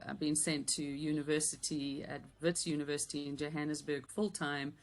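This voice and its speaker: Low-voiced Yorkshire woman in her fifties. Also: level tone, brisk. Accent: Yorkshire English softened by South African years. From Yorkshire, England. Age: 50s. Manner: warm, chatty, thoughtful with frequent ums and light laughter. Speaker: guest, business coach. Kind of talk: casual interview chat.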